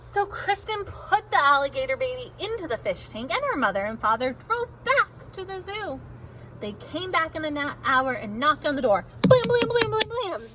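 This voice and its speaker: Animated, high-voiced woman reading to children. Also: a little hiss or hum, low-quality recording. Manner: exaggerated, drawn-out words and sound effects; pauses to ask listeners questions. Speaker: teacher reading aloud to children. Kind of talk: animated children's picture-book read-aloud.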